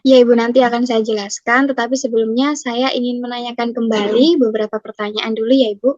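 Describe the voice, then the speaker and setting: A woman's voice: a little high, steady tone, fast, no room sound. A young woman acting as a nutrition counselor, asking polite, formal questions step by step. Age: young adult. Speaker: nutrition counselor in a class role-play. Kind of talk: scripted role-play consultation. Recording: dry, muffled lo-fi recording.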